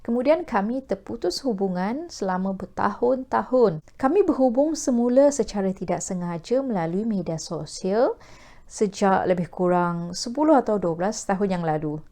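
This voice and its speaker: Casting: Malaysian female host, soft mellow tone, formal and measured newsreader delivery to camera. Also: medium pitch, expressive. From Perak, Malaysia. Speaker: host of a talk video series. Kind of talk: formal scripted presentation to camera.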